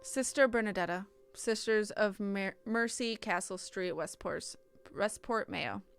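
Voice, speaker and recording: Woman streamer reading out a visual novel, smooth clean voice, trips on words and fixes them, chatty asides. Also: mid-range voice, measured pace, soft background music. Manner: self-interrupting, stumbles and corrects herself while reading. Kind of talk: reading game text aloud with casual stream chat.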